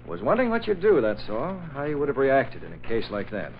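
Fatherly, shrewd boss, measured and wry, muffled vintage radio with faint noise. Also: medium pitch, huge pitch swings. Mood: calm, fatherly. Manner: measured, gently reasoning, wry. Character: calm, fatherly, shrewd male newspaper chief. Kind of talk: 1940s radio drama acting. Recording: muffled, slightly noisy old radio recording.

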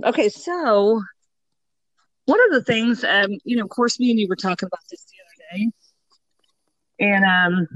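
A woman's voice: fairly low pitch, no echo. A woman co-hosting a true crime podcast, talking casually and rambling a bit, her voice slightly scratchy from allergies. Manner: rambling, many ums and you-knows. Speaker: podcast co-host.

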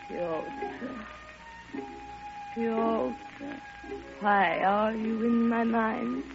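An actress playing a grieving, lovelorn older woman in a classic radio drama, speaking in a halting, theatrical and impassioned way. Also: a little high, gravelly, full character voice. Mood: grieving longing. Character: grieving, lovelorn old woman pouring out her heart. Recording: muffled vintage radio recording with music.

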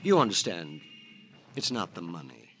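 An actor playing a petty, penny-pinching husband in an old radio drama, fussy, indignant and self-pitying. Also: male voice, low-pitched, big pitch swings, normal speed, a bit bright. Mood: aggrieved, self-pitying. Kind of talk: 1940s-50s radio drama acting. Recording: noisy old radio recording.

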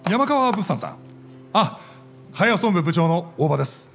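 Male corporate manager, high and extremely animated, persuasive radio drama delivery over faint music. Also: normal speed. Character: persuasive, theatrical male department head defending his staff. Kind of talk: radio drama acting before a live audience.

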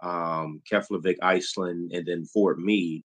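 Middle-aged guy from chicago, really low voice, talks slow and easy with lots of ums, hosting a laid back chat. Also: fairly even intonation. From Chicago, USA. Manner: slow, unhurried talker with frequent ums. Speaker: podcast host. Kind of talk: casual interview chat.

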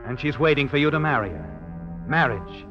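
A man's voice: medium pitch, very animated, normal speed, lightly acted. An actor playing an all-knowing narrator of a 1940s radio mystery, sardonic and insinuating as he addresses the listener. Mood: sly, insinuating. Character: sinister, taunting omniscient mystery narrator. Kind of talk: 1940s radio drama second-person narration. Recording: dull vintage radio, music underneath.

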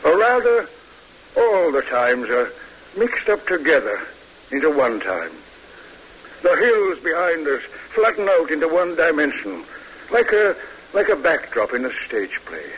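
Slow, musing old colonel. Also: male voice, a little high, big pitch swings, a bit bright, low-quality recording. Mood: wistful, musing. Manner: halting, musing, old-fashioned formal speech. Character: gruff, fond, reflective old army colonel father. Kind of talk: 1950s radio drama acting. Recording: hissy vintage radio recording.

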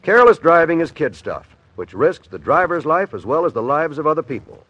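A male radio announcer reading a scripted public safety announcement in an earnest, measured manner. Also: fairly high pitch, very animated, fairly bright tone, no echo. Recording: dull old radio recording.

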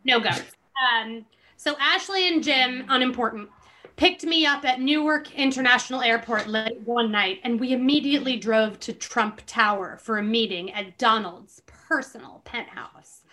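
Bright-voiced woman reading dramatically. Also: fairly high pitch, normal speed. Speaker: podcast host reading a book aloud. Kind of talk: dramatic reading with casual asides.

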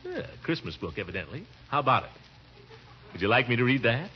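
An actor playing a harried, grumbling middle-aged American uncle in theatrical, exasperated 1940s radio comedy style. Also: male voice, medium pitch, sing-song, fast, close to an everyday voice. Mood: weary grumbling. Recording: dull, noisy old radio recording.

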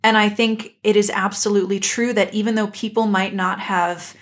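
A woman's voice: mid-range voice, fairly even intonation, moderate pace, fairly bright tone. Earnest woman in casual analytical discussion. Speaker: podcast co-host.

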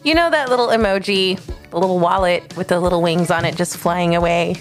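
A woman's voice: fairly low pitch, brisk, soft background music. A woman hosting an interview, asking questions in a playful, joking way. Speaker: podcast host. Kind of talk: casual interview chat.

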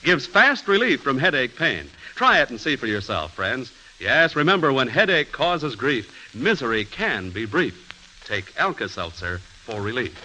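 A man working as announcer and pitchman in an old-time radio comedy commercial. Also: big pitch swings, moderate pace, sharp tone. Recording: noisy old radio broadcast recording.

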